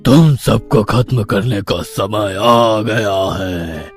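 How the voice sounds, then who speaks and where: A man voicing a menacing, boastful forest demon who makes slow, taunting threats in an animated horror story. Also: on the low side, soft background music. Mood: furious.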